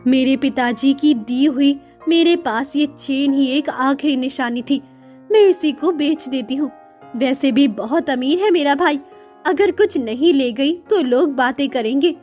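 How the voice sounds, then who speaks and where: A woman's voice: high-pitched, unhurried, a little mellow, soft background music. A woman playing a humble, devoted poor sister in a moral-story cartoon, earnest and deferential. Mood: determined.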